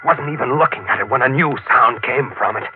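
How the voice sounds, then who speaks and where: A man's voice: mid-range voice, fairly quick, narrow, tinny audio, lightly acted. An actor playing a brooding, obsessive newspaper reporter who narrates his own mystery in intense 1940s radio style. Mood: hushed, uneasy. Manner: intense, dramatic. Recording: band-limited old 1940s radio, music behind.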